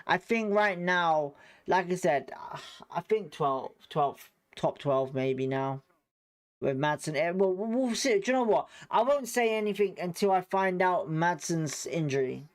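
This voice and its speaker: A man's voice: high voice, expressive, measured pace. A man with a British accent giving informal live football commentary as a fan host, blunt, slangy and sweary in his reactions.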